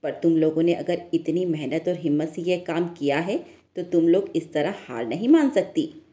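A woman's voice: low-pitched, animated, normal speed, dark tone. A woman voicing a caring, encouraging village mother of three daughters in a cartoon story.

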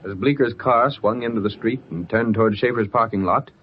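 A man's voice: lowish voice, a little fast, background noise. A man with an American accent reading scripted narration as the announcer of an old radio drama. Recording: muffled vintage radio recording over music.

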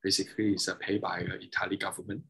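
A man in his thirties whose first languages are Mandarin and Cantonese, speaking on a video call as a guest, halting and restarting often as he explains technical details. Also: deep voice, level tone, sharp tone, slightly rough, poor audio. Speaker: guest, double bassist and violin maker. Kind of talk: casual interview over video call.

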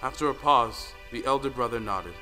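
A man's voice: mid-range voice, normal speed, pushed and bright. A man reading scripted narration for a folktale audio drama. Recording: long reverberant hall sound. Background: music.

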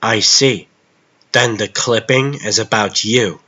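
A man's voice: lowish voice, takes it slowly, sharp tone, husky, lo-fi sound, heavily acted. A man playing a mild, curious costumed hero in a comic dub, subdued and conversational.

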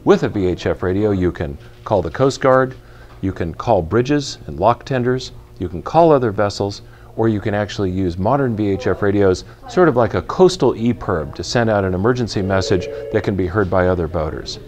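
Low-voiced man explaining gear in a store. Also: lively intonation, a little mellow. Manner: clear, measured, practical explaining with hand gestures. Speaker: retail company spokesperson presenting boating gear. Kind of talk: product explainer to camera. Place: large indoor retail store aisle. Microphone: lapel mic.

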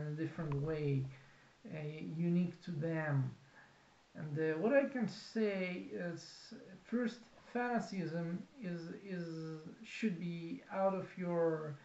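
A man in his thirties with a Russian accent, talking unscripted to a webcam in a small office, halting with many fillers and restarts. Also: a little high, darkish tone. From Kazakhstan. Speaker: vlogger. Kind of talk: unscripted talk to camera. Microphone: webcam at desk. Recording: roomy, dull lo-fi webcam sound.

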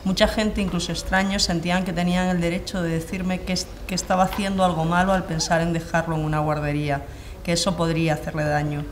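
A woman reads an essay aloud in a flat, even voice with little rise and fall. A faint hum of a large room sits behind her. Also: lowish voice, fast. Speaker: reader in a shared reading group.

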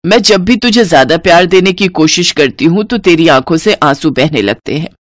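Woman playing a doting low-voiced elderly mother-in-law. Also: animated, moderate pace, no room sound, studio quality, lightly acted. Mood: tender. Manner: soothing, affectionate.

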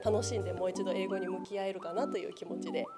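Middle-aged woman, polite, medium-pitched voice. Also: quick pace. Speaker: radio personality, interviewee. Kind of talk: polite conversational self-introduction and answers. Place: meeting room indoors. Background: loud background music.

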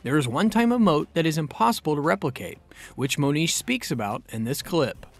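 A male narrator reads a scripted explainer in a smooth, read-aloud delivery. His voice sits a little high and swings widely in pitch. Faint background music runs under him. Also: sing-song, fairly quick, dry recording.

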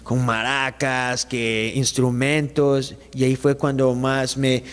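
A man's voice: medium pitch, takes it slowly, clear, resonant tone, in a large hall. A young man from Ecuador, a singer, chatting animatedly in a studio interview into a handheld mic, often restarting his sentences. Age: young adult. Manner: animated, gestures with his hands, many restarts. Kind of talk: casual interview chat. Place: small studio set, indoors. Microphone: handheld mic held by the interviewer.

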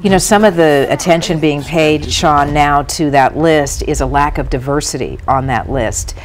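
A woman's voice: sits low, moderate pace, a crowd in the background. A woman hosting a live TV news show, interviewing guests in a studio. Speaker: TV news host.

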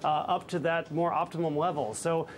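Guest on a news link: man in his thirties, measured, lots of ums, somewhat high-pitched, faint hiss. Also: fairly even intonation. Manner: measured, hesitant with frequent fillers. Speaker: fund manager guest. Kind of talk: live news interview. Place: office with trading desks behind.

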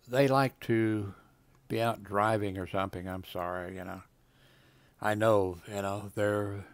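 Old guy in his 70s at his desk, gravelly lowish voice, rambly with lots of uhs, dry close sound. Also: no echo. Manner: meandering, many fillers and trailing asides. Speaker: video blogger. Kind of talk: rambling casual commentary while reading web pages. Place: home desk with computer.

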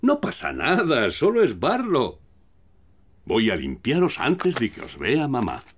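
A hearty, playful father pig for a children's cartoon. He speaks with a Castilian Spanish accent and very animated intonation. He sounds indulgent and jolly. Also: medium pitch, measured pace, slight background noise. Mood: exclaiming, jolly.

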